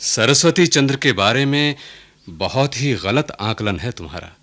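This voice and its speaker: A man's voice: medium pitch, lively intonation, unhurried, a bit bright. An actor playing an affectionate but firm middle-aged merchant, a husband and father, in a radio play. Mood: assured. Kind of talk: Hindi radio play acting.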